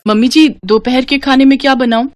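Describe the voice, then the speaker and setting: A woman's voice: high-pitched, fairly even intonation, a little fast, pitched above the performer's own voice, natural voice. A woman voicing a dutiful, overworked young mother and daughter-in-law in a moral story cartoon. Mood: harried. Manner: eager to please, harried.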